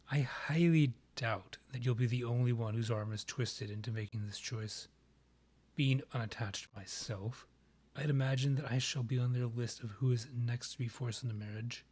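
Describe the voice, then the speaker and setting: Man acting a breathy, haughty vampire monarch. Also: medium pitch, a little mellow, lightly acted. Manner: formal, measured, archly condescending phrasing. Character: haughty male vampire monarch, proud rival and ex-lover. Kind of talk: scripted romantic roleplay ASMR audio drama.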